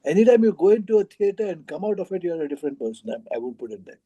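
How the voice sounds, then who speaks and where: A man speaking in a halting, rambling way in an informal discussion. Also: high-pitched, sing-song, mellow, breathy edge. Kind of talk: informal book club discussion.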